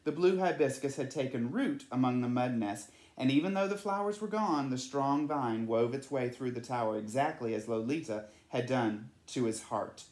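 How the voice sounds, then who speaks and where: Male storyteller, children's read-aloud, mid-pitched voice, strongly roomy recording. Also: normal speed, few pauses, a little mellow. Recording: echoey room.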